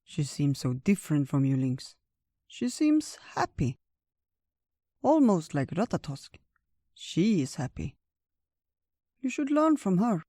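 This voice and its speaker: A storyteller voicing a wise, gentle old male bear in a theatrical, drawn-out way for a children's tale. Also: mid-range voice, sing-song, dry recording, clean studio sound. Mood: hospitable, teasing. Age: older.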